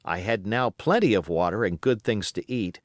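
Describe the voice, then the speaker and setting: A man's voice: on the low side, normal speed, no room sound, clean studio sound. A man reading literary narration as an audiobook reader.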